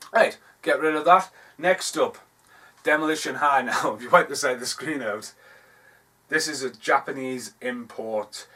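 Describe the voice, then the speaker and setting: A man's voice: medium pitch, bright, forceful tone. A Geordie man talking through his movie collection in a loose, offhand way, often stopping to correct himself. His voice sits a little back from the microphone, so it sounds slightly distant. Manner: rambling, offhand, self-correcting. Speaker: film reviewer. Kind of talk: casual video review, showing DVD covers. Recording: voice a bit far from the mic.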